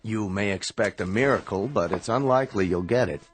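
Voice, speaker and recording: An actor playing a grave, protective American doctor in a radio drama, measured, somber and terse. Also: male voice, lowish voice, faint music behind, lightly acted. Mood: clinical, grave. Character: grave, protective doctor, reluctant brother of the patient.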